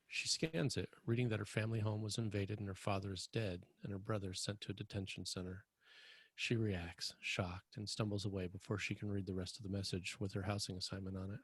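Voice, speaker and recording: A man reading screenplay stage directions as scripted narration, with a husky, gravelly voice. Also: lowish voice, no room sound.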